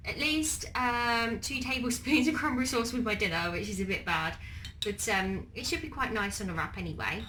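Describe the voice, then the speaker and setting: A young woman with a fairly high voice talks to her camera while she cooks and eats. She has a bit of a cold that makes her sound faintly wheezy, and she rambles along with lots of ums and restarts. Faint noise sits behind her. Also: bright, forceful tone. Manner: chatty and rambling, many ums and trailing restarts. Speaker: vlogger. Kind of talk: casual cooking vlog and eating on camera.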